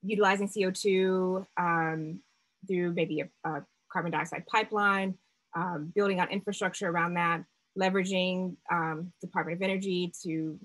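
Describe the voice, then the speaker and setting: A woman startup founder presenting a seminar talk over slides, measured and full of fillers and restarts. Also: lowish voice, steady tone, a bit bright, poor audio.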